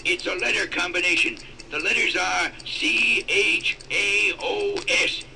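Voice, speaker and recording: An actor playing a gruff, commanding older general with a gravelly voice, giving clipped urgent instructions in an audio drama. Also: male voice, sits high, unhurried, background noise.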